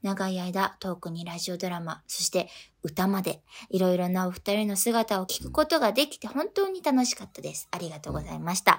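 A woman's voice: on the low side, lively intonation, fairly quick, hardly any pauses. A woman co-hosting a radio talk show, politely reading listener mail aloud. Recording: radio talk over background music.

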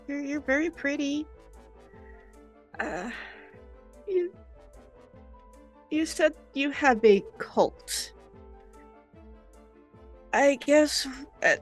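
A woman playing a nervous, flustered interviewer in an improvised audio drama, hesitant and rambling. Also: high voice. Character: nervous, flustered female interviewer out of her depth.

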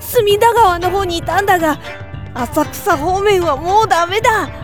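A woman playing an excitable young goblin boy who talks in rough, brash downtown slang in an audio drama. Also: very high voice, moderate pace, faint music behind. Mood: panicked, urgent. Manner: rough, brash old-downtown tough talk. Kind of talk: Japanese audio drama acting.

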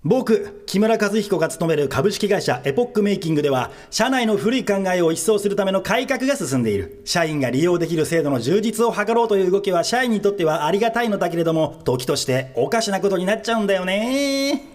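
A man's voice: high voice, sing-song, quick pace, few pauses, faint music behind. A man playing a wry office worker who narrates a comedic radio drama. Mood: wry, explanatory.

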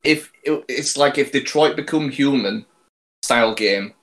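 A man's voice: mid-range voice, a little slow, creaky, a bit bright. A man hosting a casual podcast, chatty and laughing, leading the topic with plenty of fillers.

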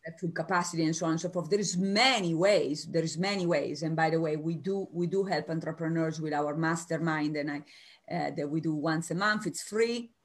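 Energetic Italian-accented woman coach. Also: low-pitched. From United States. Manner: lively, energetic, quick bursts with restarts. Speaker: career coach guest. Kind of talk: spontaneous interview answers.

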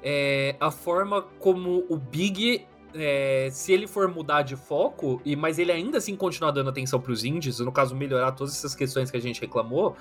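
A man with a notably high voice talks casually on a podcast. He tells stories in an animated, slangy way, stacking up fillers. Soft music sits faintly in the background. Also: moderate pace, hardly any pauses. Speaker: podcast guest. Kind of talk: casual chat.

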